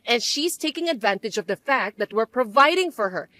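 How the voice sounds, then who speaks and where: A woman voicing a shrill, scornful older mother-in-law. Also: lively intonation, brisk, bright, forceful tone, dry recording. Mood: complaining. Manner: accusing, indignant, whiny. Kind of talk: dramatized story voice acting.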